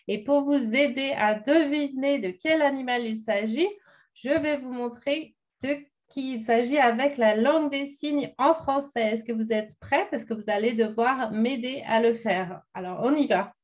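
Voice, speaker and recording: A woman announces an award winner over a video call, building suspense with a guessing game. Her voice sits fairly high. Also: darkish tone. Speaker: award presenter.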